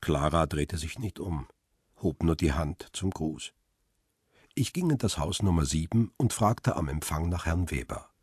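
An actor playing a weary middle-aged photographer who tells his own story in a measured, sober way for a radio drama. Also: lively intonation, darkish tone, dry recording, studio quality, heavily acted. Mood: sober, reflective. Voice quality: low, husky. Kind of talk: radio drama first-person narration.

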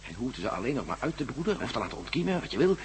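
A man's voice: medium pitch, sing-song, racing, noisy background, lightly acted. An actor playing an analytical, cautious male explorer in a Dutch radio drama. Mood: reasoning.

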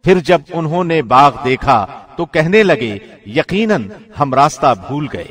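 A man reading a scripture translation from a script in a formal, measured radio-announcer manner. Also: a little high, lively intonation, a bit bright. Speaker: translation reader, radio presenter.

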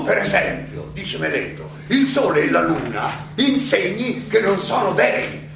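A man's voice: sits high, vocal fry, full character voice. A male stage actor playing an elderly, ironic and indignant Athenian philosopher who argues his own defense in a theatrical, insistently questioning monologue. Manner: rhetorical, halting then insistent, questioning. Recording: echoey, distant, noisy live stage recording.

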